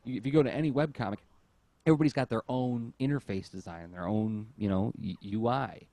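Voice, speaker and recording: A man's voice: medium pitch, fairly quick, warm tone, no room sound. A man hosting a podcast conversationally, thinking aloud and rephrasing his questions as he goes. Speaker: podcast host, interviewer. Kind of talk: casual conversational interviewing.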